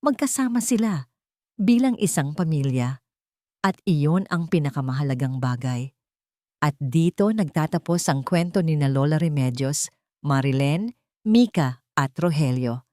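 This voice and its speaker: Low-voiced woman narrating a sentimental story. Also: animated, a little slow, warm tone, no room sound, studio quality. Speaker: story narrator and channel host.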